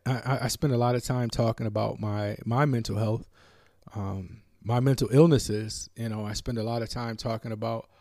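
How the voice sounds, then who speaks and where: A man from the United States hosting a podcast, talking alone in a reflective, halting way with many fillers and restarts. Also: mid-range voice, creaky, mellow, no room sound, clean studio sound. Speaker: podcast host. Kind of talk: reflective solo talk.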